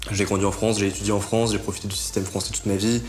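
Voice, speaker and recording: Brief: young man in his twenties, low and monotone, careful interview answers. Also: quick pace. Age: 20s. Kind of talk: documentary interview testimony.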